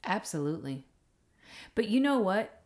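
A middle-aged woman co-hosting a casual podcast, agreeable and quick with short affirming replies. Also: mid-range voice, sing-song, a little mellow. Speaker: podcast co-host.

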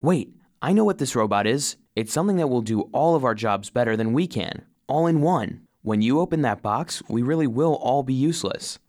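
A man's voice: mid-range voice, animated, normal speed, hardly any pauses, darkish tone, no room sound, studio quality, close to an everyday voice. A man playing an earnest, loyal little robot vacuum cleaner who narrates a children's audio story. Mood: earnest, storytelling. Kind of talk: scripted first-person children's story narration.

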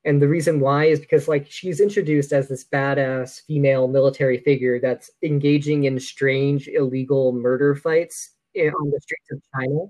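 A man's voice: mid-range voice, fairly even intonation, moderate pace, hardly any pauses, a little mellow, low-quality recording. A man co-hosting a podcast in a casual film discussion, opinionated and critical in how he talks. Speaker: podcast co-host.

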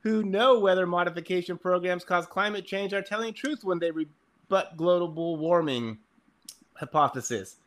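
A man's voice: high voice, normal speed, fairly bright tone. A man chatting casually and reading aloud in a mock-dramatic way, sarcastic, exasperated and free with swearing. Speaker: podcast guest reading fliers aloud.

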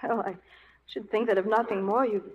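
A woman's voice: mid-range voice, steady tone, brisk, close to an everyday voice. An actress playing a devoted, refined young woman from back East, earnest and pleading, in 1940s radio drama style. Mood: hopeful, hurt. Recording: dull old radio broadcast, audience noise.

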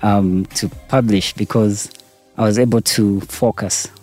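A man's voice: on the low side, steady tone, a little slow, a little mellow, faint music behind. A Ugandan man in his forties answering interview questions about his work, with a hesitant manner full of fillers. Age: 40s. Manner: hesitant, many fillers and restarts. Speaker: interview guest, entrepreneur. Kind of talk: casual interview conversation.

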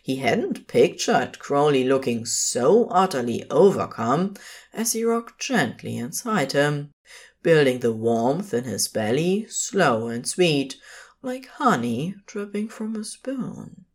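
Woman reading a story, pretty low voice, takes it slow, phrase by phrase. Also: very animated, breathy edge. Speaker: narrator. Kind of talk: scripted fiction narration.

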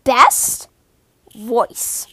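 A woman hosting a casual book-fan podcast, chatting in a rambling, animated, giggly way, her voice scratchy from a cold. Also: very slow, no room sound. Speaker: podcast host.

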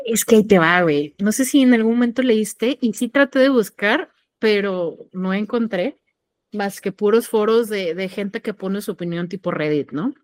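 A woman's voice: mid-range voice, moderate pace, dry recording. A young woman with a Mexican accent chatting casually as a podcast co-host, hesitant and full of fillers and slang. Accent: Mexican Spanish. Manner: slangy, hesitant, thinks aloud with many fillers. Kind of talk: casual chat.

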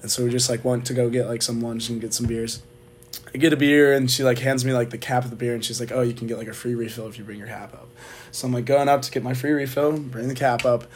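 A young American man telling stories casually among friends, animated and slangy in a bro-like way. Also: medium pitch, fast talker, a little hiss or hum. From Charlotte, southern United States. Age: young adult. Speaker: podcast co-host.

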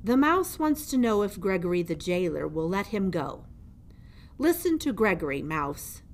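Woman as a grim old jailer, loud and ominous. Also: mid-range voice, sing-song, moderate pace. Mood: ominous warning. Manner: ominous, emphatic, refers to himself in third person. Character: grim, theatrical old male dungeon jailer. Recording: noticeable background noise.

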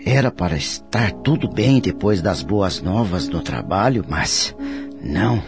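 An anxious, slow-talking radio drama businessman. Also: low-pitched, sing-song, over music, full character voice. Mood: troubled, alarmed. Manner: flustered, halting, fretful. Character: anxious, troubled businessman living with his mother. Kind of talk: radio soap opera acting.

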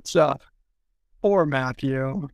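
Man, gravelly creak, close dry sound, casual book talk, halting, repeated restarts. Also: medium pitch, no room sound. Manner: halting, repeats and restarts phrases while thinking aloud. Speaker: podcast discussion co-host. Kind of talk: casual literary discussion.

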